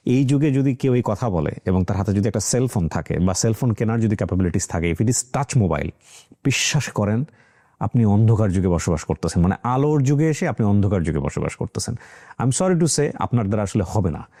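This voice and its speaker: Male Bangladeshi interview host, quick delivery, soft-edged tone, conversational, drops in English phrases. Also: fairly low pitch, animated. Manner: mixes English phrases into speech. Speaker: talk show host. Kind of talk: conversational interview with asides to the audience.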